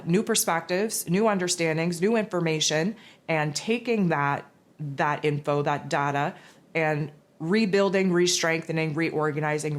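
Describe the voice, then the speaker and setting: A raspy, hoarse woman hosting a spiritual forecast, talking in a loose, rambling casual monologue. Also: deep voice. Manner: rambling, loose, with many fillers and restarts. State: hoarse from losing her voice.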